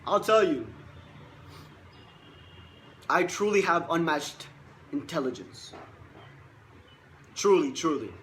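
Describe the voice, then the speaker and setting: A man's voice: on the high side, lively intonation, slow, pauses often, a bit bright, a little hiss or hum. A man in his twenties, a life coach giving a boastful, emphatic motivational monologue and repeating himself for stress. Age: 20s.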